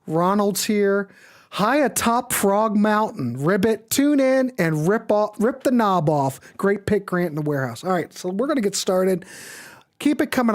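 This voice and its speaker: A man hosting a live music show in a casual, enthusiastic, rambling and informal way. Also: high-pitched. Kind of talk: casual live stream commentary.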